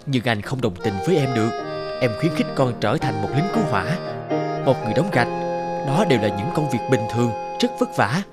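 A medium-pitched man acting plays a strict but loving father in an animated story. His delivery is earnest and firm, with animated intonation. Loud music plays behind him. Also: brisk, lightly acted. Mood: disapproving. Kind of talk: animated moral-story dubbing.

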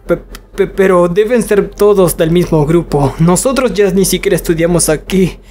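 A woman voicing a timid, anxious twenty-year-old man in a cartoon fandub, stammering and halting. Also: lowish voice, dark tone, soft background music, close to an everyday voice. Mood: nervous. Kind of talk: fandub comic acting.

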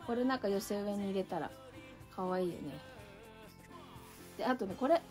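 A woman's voice: mid-range voice, lively intonation, moderate pace, darkish tone, faint music behind. A middle-aged woman chatting casually as she shows plants to the camera in her home entryway. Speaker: succulent channel vlogger. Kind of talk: casual chat. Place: indoor entryway with a shelf.

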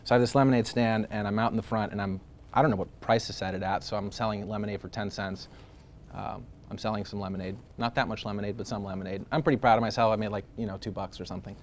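A man's voice: on the low side, fairly even intonation, quick pace, a little hiss or hum. A man in his thirties, born in Greece, talking casually in an interview with lots of fillers, restarts and laughs. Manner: rambling, many fillers and restarts, laughs often.

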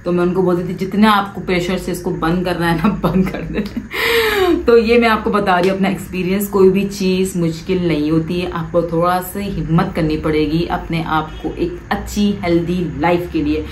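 Woman, thirties, lowish voice, echoey living room, direct coaching. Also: moderate pace, a little hiss or hum. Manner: animated, gestures a lot with both hands; direct, coaxing advice to the viewer. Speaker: vlogger. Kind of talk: casual advice vlog. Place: living room, seated on a sofa.

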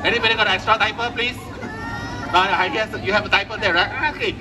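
A man's voice: sits high, steady tone, fast talker, recorded from a distance, background noise. A middle-aged man doing live puppet show patter, giving short questions and instructions to the crowd over an outdoor stage PA. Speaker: children's ventriloquist entertainer. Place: outdoor festival stage at night.

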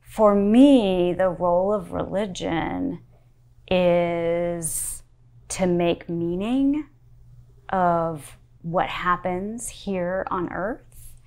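A woman pastor in her forties speaks slowly and deliberately, her voice sitting a little low. She weighs her words in a calm sit-down interview, picked up close by a lapel mic in a quiet study. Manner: thoughtful, measured, unhurried. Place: wood-panelled study with armchair.

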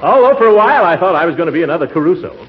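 An actor playing a vain, blustery, chuckling middle-aged uncle in a 1940s radio comedy. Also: male voice, fairly high pitch, expressive, racing, over music, a crowd in the background, lightly acted. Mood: self-important. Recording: muffled, noisy 1940s radio, audience behind.

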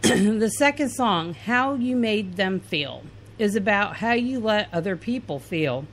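A woman's voice: mid-range voice, lively intonation, unhurried. Woman reading an interview aloud. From Indiana, US. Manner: plain, earnest reading with fillers and restarts. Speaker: podcast host.

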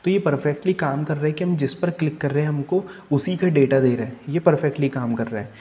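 A young man with a mellow, relaxed tone talks through code at his desk, explaining each step as he types. Soft keyboard clicks come through now and then. His delivery is steady and patient, like a tutor thinking aloud. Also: fairly high pitch, brisk, dull audio. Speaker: coding tutor. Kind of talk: screen-recorded coding tutorial.

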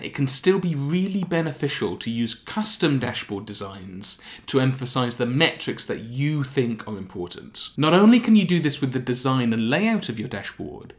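A man in his thirties giving a scripted explainer, step by step, with deliberate emphasis. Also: medium pitch. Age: 30s. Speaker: presenter of a software tutorial. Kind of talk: scripted explainer narration to camera.

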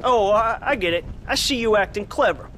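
High-pitched, cranky old frontier shopkeeper. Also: male voice, brisk, pushed and bright, slight background noise. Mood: indignant ranting. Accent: American. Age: older. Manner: ranting, righteous, folksy. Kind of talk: video game cutscene acting.

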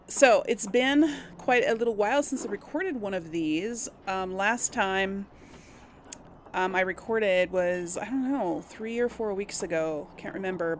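A woman's voice: medium pitch, slight background noise. A woman hosts a casual gameplay video in a mid-range voice. She is chatty and explanatory, correcting herself along the way. Faint game ambience sits behind her. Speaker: let's play host.

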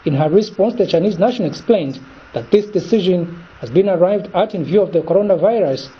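A man reads a news report in a formal, measured way. His voice sits high and has a husky edge. The sound is dull and lo-fi. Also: animated.

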